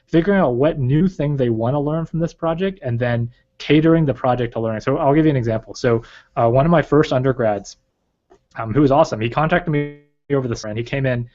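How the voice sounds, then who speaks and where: A man, raised in China with Chinese as his first language, speaks in a middling register as a guest in a relaxed interview. He thinks aloud, rambling through ums and likes. The sound is a bit muffled and lo-fi. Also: medium pitch, fast, soft background music. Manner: thoughtful, rambling, many fillers. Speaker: guest, research advisor. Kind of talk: casual interview talk. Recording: slightly dull lo-fi recording.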